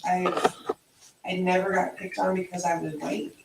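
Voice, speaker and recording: A woman's voice: on the low side, flat delivery, measured pace, gravelly. A woman in a casual chat, talking in a plain, matter-of-fact way. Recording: distant, echoey, loud background noise.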